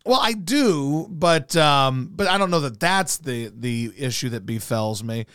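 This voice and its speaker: A hoarse man hosting a casual talk show, rambling in a loose, profane way and doing live ad reads. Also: medium pitch, lively intonation, a little slow, fairly bright tone, no room sound, clean studio sound. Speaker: radio host. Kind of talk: casual podcast chat and live ad read.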